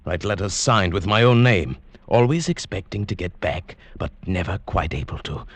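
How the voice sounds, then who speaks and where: An actor playing a scheming professor who narrates his own wife-murder, in a smooth, quietly gloating 1940s radio drama style. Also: sits low, expressive, normal speed, no room sound. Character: scheming middle-aged male professor, cold wife-murderer. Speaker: narrator and lead character. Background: music.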